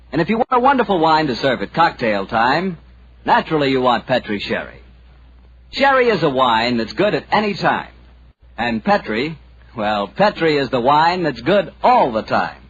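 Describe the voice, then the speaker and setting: A man's voice: medium pitch, fairly bright tone. An American man working as a 1940s radio announcer, delivering sponsor patter in a chatty, folksy selling manner. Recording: dull, noisy 1940s radio recording.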